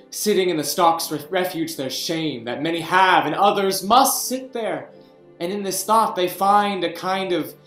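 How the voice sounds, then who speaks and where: A young man playing a deposed, imprisoned king, speaking slowly and dropping to whispers between bursts of anger. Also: on the high side, reverberant. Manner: slow, swings from hushed whispers to outbursts. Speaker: actor in a staged reading. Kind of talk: Shakespeare reading over video call. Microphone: video call webcam.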